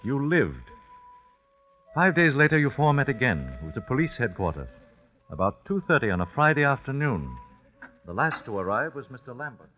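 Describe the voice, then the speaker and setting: A bereaved father telling his story in a vintage radio drama. The low voice is formal, deliberate and expressive, muffled, with faint music. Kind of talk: 1940s radio acting.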